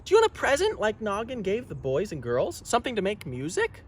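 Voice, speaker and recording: A man voicing a small, earnest storybook boy who asks things gently and eagerly. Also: high voice, huge pitch swings, a little fast, slight background noise, pitched above the performer's own voice.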